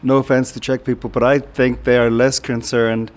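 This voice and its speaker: Man, interviewer, mid-range pitch, conversational questioning, informal podcast interview. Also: flat delivery, normal speed.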